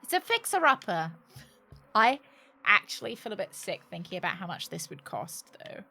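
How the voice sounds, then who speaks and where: A British woman with a bright, forward voice chats casually as she streams a game. She is jokey and sarcastic, swears freely, and keeps her pitch in a middle range. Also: big pitch swings, normal speed, vocal fry, slight background noise. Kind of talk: casual livestream chat while playing a game.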